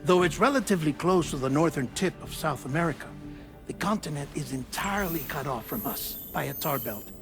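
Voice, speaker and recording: An eccentric male scientist gives a theatrical game monologue. His voice is fairly high and swings widely, deliberate and then suddenly flourishing. Music plays behind him. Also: expressive. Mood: explanatory, dramatic. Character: theatrical, eccentric scientist leaving a recorded farewell message.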